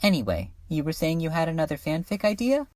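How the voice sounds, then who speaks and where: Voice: mid-range voice, sing-song, fast talker, a little mellow, dry recording, studio quality, close to an everyday voice. A woman voicing a laid-back cartoon cat creature in a comedic dub, with a casual, slangy manner. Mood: casual, playful.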